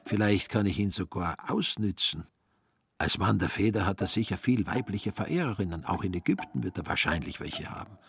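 A man's voice: low-pitched, expressive, brisk, creaky, husky, no echo, clean studio sound, heavily acted. A man narrating a literary radio play in a wry storytelling manner. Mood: wry, grumbling. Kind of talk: literary narration with acted inner monologue.

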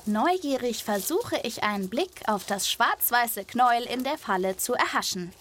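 A woman's voice: fairly high pitch, expressive, moderate pace, a bit bright, a little hiss or hum. A woman narrating a scripted wildlife story for children, with a vivid, playful storytelling manner. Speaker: host of a children's wildlife podcast.

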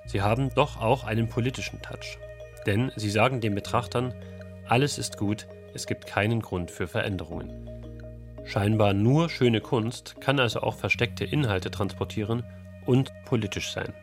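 A man's voice: fairly low pitch, normal speed, music in the background. A man reading a scripted, explanatory radio feature.

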